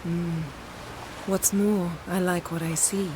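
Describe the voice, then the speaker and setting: An actress playing a proud, sardonic sorceress in a video game cutscene, with a cool, dry delivery. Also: female voice, fairly low pitch, little pitch movement, slow pace, background noise. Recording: loud score underneath.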